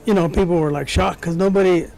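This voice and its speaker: A man's voice: high-pitched, fairly even intonation, fast, creaky, darkish tone, poor audio. A man in his sixties, born in Mexico and raised in the United States, casually recalling his life as an interview guest. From born in Mexico, grew up in the United States from early childhood. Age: 60s. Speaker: interview guest, former track runner. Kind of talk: casual personal recollection.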